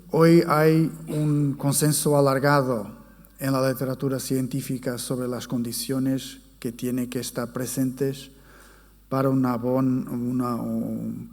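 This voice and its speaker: A man with a Portuguese accent, a native Portuguese speaker, gives a formal conference presentation, haltingly searching for words in his second language. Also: mid-range voice, darkish tone. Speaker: academic conference speaker, forensic psychologist. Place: conference hall. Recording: reverberant hall sound.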